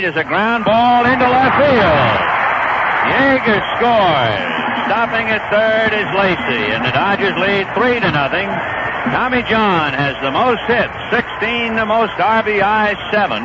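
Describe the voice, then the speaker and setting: A man calls baseball play-by-play in a slightly high voice that rises and falls freely. He speaks conversationally and lifts into bursts of excitement on big plays. The sound is a dull old radio broadcast under a clear layer of hiss. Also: a little slow, over a noisy crowd. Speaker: play-by-play announcer.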